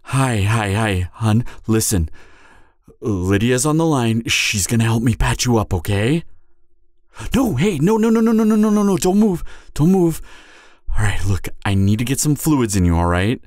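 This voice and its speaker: A man's voice: mid-range voice, sing-song, normal speed, darkish tone, no echo, clean studio sound, close to an everyday voice. A man playing a devoted hunter boyfriend in an emotional audio roleplay, urgent and stammering, repeating himself as he pleads with his wounded lover. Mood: insistent.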